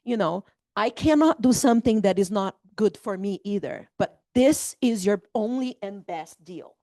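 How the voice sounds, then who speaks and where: A woman's voice: medium pitch, expressive, moderate pace, dark tone, no room sound. Candid woman flipper on a panel. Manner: candid, emphatic, community-minded. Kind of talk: seminar panel talk.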